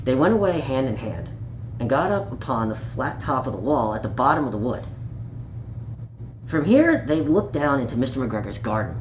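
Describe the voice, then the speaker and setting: A person reading a children's story aloud in an animated, playful way, with small asides and stumbles over words. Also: medium pitch. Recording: echoey room with light background noise.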